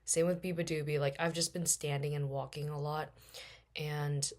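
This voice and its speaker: A young woman in her twenties with a hoarse, worn-out voice, hosting a casual solo podcast and rambling along with lots of fillers. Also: sits low, little pitch movement, moderate pace, a little mellow. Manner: rambling, chatty, many fillers. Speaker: podcast host.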